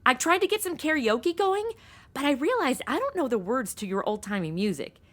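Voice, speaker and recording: A woman's voice: high-pitched, very animated, fairly quick. A woman playing a flighty, exasperated young temp secretary in a comedy audio drama, talking in breezy modern slang. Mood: flustered. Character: flighty young female temp secretary, indignant party girl.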